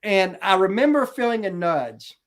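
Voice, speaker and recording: A middle-aged man with a high-set voice speaks in casual conversation. He tells personal stories with earnest conviction, like a testimony, and voices quoted remarks as he goes. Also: animated, moderate pace. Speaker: talk show co-host.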